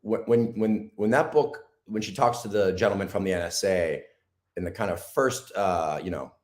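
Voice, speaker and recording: Deep raspy guy, hoarse from talking all week, lots of ums and restarts. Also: moderate pace. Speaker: guest. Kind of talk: casual interview talk.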